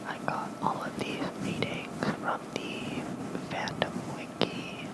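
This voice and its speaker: An ASMR host reading lore as a bedtime story in a soft, breathy whisper, gentle and soothing, close to a fluffy microphone. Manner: slow, gentle, soothing delivery. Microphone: close fluffy-covered microphone.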